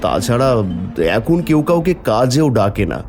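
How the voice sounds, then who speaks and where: A man's voice: mid-range voice, very animated, normal speed, darkish tone, soft background music. A man playing a poor, worried village labourer and father in a fairy-tale cartoon, speaking plaintively. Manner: lamenting, plaintive.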